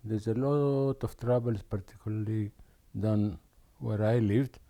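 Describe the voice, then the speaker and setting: An older man from Aden, Yemen, talks through his memories at an unhurried pace. His voice is low and warm, and he often pauses and restarts his sentences as he recalls what happened. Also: steady tone. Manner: slow, reflective, with many restarts and pauses. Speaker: interviewee. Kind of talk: oral history interview.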